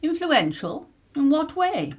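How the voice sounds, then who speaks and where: A woman's voice: high voice, very animated, slow pace, heavily acted. A woman with an English accent playing a proper, pointed middle-aged upper-class mother in a 1930s radio play. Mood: wry reproof.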